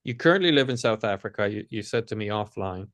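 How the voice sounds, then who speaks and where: A man hosting an interview in a gentle conversational style, phrasing his questions considerately and tentatively. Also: on the low side, animated, fast, dry recording. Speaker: interviewer, host.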